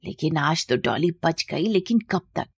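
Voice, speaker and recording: A woman acts a villainous aunt from a storybook tale. Her voice is on the low side, theatrical and two-faced, greedy and impatient underneath. The sound is close and dry. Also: lively intonation, unhurried, creaky, slightly rough, no room sound, exaggerated voice. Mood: scheming, annoyed. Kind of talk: moral-story acting.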